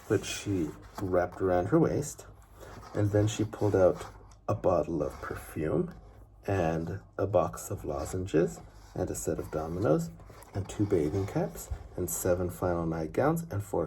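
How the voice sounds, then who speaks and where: A man narrating a children's story expressively, with deliberate phrasing and dramatic pauses. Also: low voice, a little mellow, a little hiss or hum.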